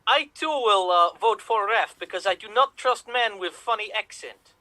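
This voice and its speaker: A man's voice: high voice, normal speed, bright, forceful tone. A man doing a stiff, formal foreigner.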